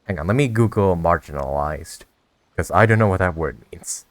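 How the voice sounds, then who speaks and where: Low-voiced young man, casual gaming commentary. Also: normal speed, no room sound. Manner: slangy, bemused. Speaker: gamer, video creator.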